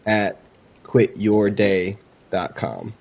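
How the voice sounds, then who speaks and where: A man's voice: lowish voice, animated, extremely slow, gravelly, a little mellow. A man chatting informally as he presents and explains a demo, conversational and unscripted. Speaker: presenter demonstrating a voice AI. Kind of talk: casual chat, explaining a demo.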